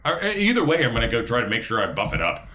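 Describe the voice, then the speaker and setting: A man voicing a stubborn, fussy wounded adventurer in a tabletop game, halting and protesting. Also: medium pitch, wildly swooping pitch, bright, forceful tone. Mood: stubborn, fussing.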